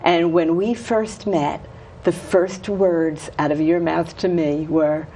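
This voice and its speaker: Lady hosting a local tv chat, kinda low voice, super eager, bit of hiss. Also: fairly even intonation, takes it slowly, dark tone. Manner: enthusiastic, gushing. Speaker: host. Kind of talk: casual interview chat.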